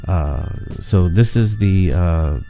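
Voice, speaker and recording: A man's voice: low voice, takes it slowly, dark tone, faint music behind. A man in his late thirties with a cold, hosting a podcast in a stuffy, nasal voice, rambling with many fillers before reading a memoir story. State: sick with a cold. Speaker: podcast host. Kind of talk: casual monologue and memoir reading.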